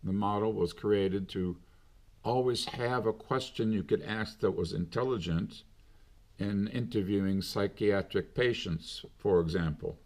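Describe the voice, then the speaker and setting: A middle-aged man speaks his mind in an unscripted monologue, with long pauses between phrases. His voice sits on the low side, and he takes his time with every phrase. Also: darkish tone. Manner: slow, deliberate, with long pauses. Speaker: commentator.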